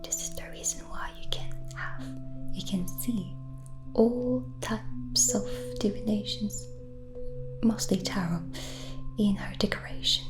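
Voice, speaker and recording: Woman doing cozy witch asmr, whispery and really slow, bit hesitant, music behind her. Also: medium pitch. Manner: hushed, unhurried, gently hesitant. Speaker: ASMR roleplay host. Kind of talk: soft whispered ASMR roleplay. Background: background music.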